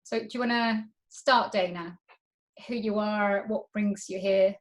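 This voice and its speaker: A woman consultant hosts a small webinar over a video call. She speaks in a conversational, thinking-aloud way, friendly as she prompts others to share, with a voice of middling pitch. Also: fairly even intonation, a little slow. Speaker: diversity consultant hosting a webinar. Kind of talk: webinar presentation and group round.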